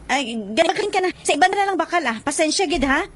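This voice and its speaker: A woman's voice: sits high, animated, brisk. A woman with a Western Visayan Filipino accent playing a caring, earnest, reproving widowed mother in radio drama. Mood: wary, apologetic. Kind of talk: Philippine radio drama acting.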